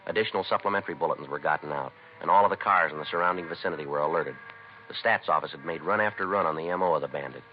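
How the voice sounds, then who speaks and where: An American man playing a terse, dogged police detective in a 1950s radio crime drama, speaking in a deadpan, clipped, businesslike way. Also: sits low, close to an everyday voice. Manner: deadpan, clipped, matter-of-fact. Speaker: detective and narrator. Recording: dull, noisy vintage radio recording.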